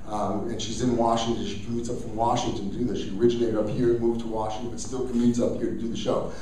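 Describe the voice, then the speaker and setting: Echoey, distant man hosting live theater. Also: medium pitch. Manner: chatty, folksy address to audience. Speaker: host of a live radio theater show. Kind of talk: informal pre-show stage announcements. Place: theater room with a live audience. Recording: echoey hall, distant mic, faint noise.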